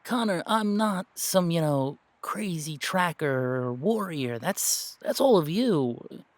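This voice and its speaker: Man as an anxious, hesitant young gamer, higher-pitched. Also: animated, slow, dry recording. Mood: flustered self-doubt. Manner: hesitant, stammering, thinking aloud. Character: anxious, self-doubting young male gamer, earnest, reluctant tracker. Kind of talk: amateur audio roleplay drama.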